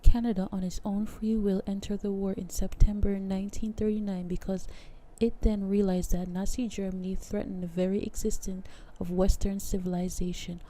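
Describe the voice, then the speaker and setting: A woman's voice: medium pitch, flat delivery, moderate pace, few pauses, dark tone, close to an everyday voice. A woman doing a nosy, oversharing teacher. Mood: chatty. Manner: chatty, then drones through a lesson. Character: brisk female schoolteacher, nosy and oversharing.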